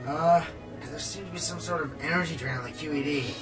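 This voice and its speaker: A man's voice: a little high, a little fast, distant mic, over music, lightly acted. A man playing an enthusiastic, pedantic pony engineer, eager and precise, in a radio play. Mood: keen, technical. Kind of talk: amateur radio play acting.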